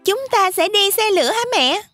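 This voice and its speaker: Very high-pitched little goat kid. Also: female voice, expressive, moderate pace, sharp tone, no echo, studio quality, soft background music, heavily acted. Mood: curious. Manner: childlike, inquisitive. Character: small curious goat kid, eager and sweet. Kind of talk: children's cartoon acting.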